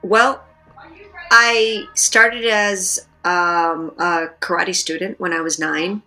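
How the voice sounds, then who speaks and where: Middle-aged female guest, bright and clear-toned, thoughtful and explanatory, video call audio. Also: on the low side, steady tone, slow, faint music behind, poor audio. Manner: thoughtful, explanatory, with filler words. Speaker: guest, actress and martial artist. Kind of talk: interview answers. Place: indoors, plain room.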